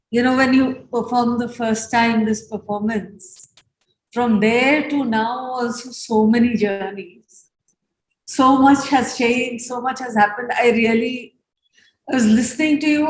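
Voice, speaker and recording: An Indian-accented woman hosts a discussion in an echoey room. Her voice is slightly high and she talks slowly. She speaks unscripted, pausing and restarting as she reflects and gives appreciation. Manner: halting, reflective. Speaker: host of post-performance conversation.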